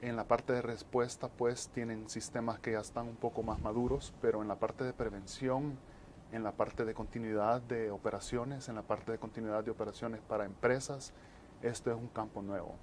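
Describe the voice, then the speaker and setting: A man speaks as an expert guest in an interview. His voice sits at an average pitch, and he explains in measured, halting phrases. There is noticeable noise in the recording. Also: fairly even intonation, darkish tone. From El Salvador. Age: 40s. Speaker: interview guest, disaster management specialist.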